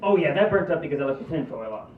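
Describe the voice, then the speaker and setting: Clueless whiny male lawyer , acted by a man: high, very animated pitch, tinny echoey line. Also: rapid-fire, crowd noise, close to an everyday voice. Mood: oblivious. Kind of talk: amateur script table read. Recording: tinny, echoey, distant mic with noise.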